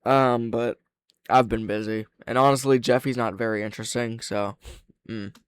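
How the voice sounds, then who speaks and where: A man's voice: mid-range voice, fairly even intonation, normal speed. A man hosting a casual reaction commentary, thinking aloud with plenty of slang and swearing. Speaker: reaction video host.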